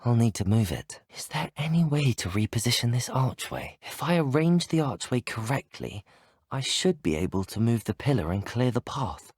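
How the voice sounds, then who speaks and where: A young man with a British accent voicing an earnest, courteous, brave teenage wizard student in a video game, speaking politely and articulately with a slightly husky tone. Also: medium pitch, a little mellow, no echo, studio quality. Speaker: player character in a video game. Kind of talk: video game voice acting, scripted lines.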